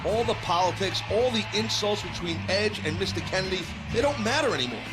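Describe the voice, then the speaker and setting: A man playing a wrestling color commentator in a video game, with an emphatic, quick delivery. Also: high-pitched, lively intonation. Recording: game audio with loud music, distant voice.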